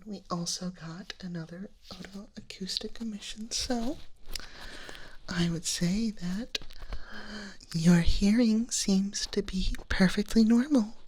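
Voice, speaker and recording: A woman playing a gentle, reassuring dragon nurse in an ASMR roleplay, speaking in a soft breathy whisper with a soothing clinical manner. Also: lowish voice, slight background noise, low-quality recording. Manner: slow, soothing, reassuring clinical bedside talk. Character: gentle reassuring female dragon nurse giving hearing exam.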